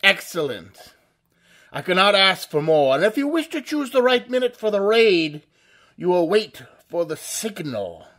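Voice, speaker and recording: Actor as a mocking crimefighter, lively swooping voice, slow menace. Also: male voice, sits high, full character voice. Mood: taunting. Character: suave male mystery vigilante, mocking and commanding. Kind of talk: 1930s radio drama reenactment.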